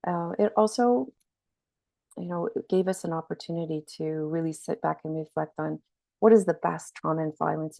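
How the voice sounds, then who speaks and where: A woman's voice: low-pitched, gravelly, warm tone, no echo, poor audio. A woman with a slight cold, giving a webinar training presentation in an earnest, explanatory way. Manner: earnest, explanatory, frequent restarts. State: has a cold, slightly scratchy.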